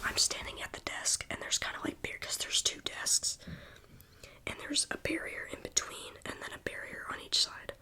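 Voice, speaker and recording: A young adult telling a rambling, casual story in a soft ASMR whisper, with a slight lingering cough. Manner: rambling, casual, full of "like" fillers.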